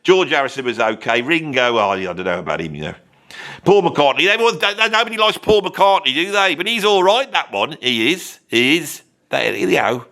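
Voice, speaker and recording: A man's voice: a little high, big pitch swings, moderate pace, sharp tone, full character voice. A man voicing a blunt, opinionated bloke with a Birmingham accent, ranting and swearing in a comic monologue.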